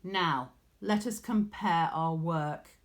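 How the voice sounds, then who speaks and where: A woman's voice: on the low side, takes it slowly. A woman voicing a cold, haughty goddess, disdainful and commanding, in a dramatised storybook reading.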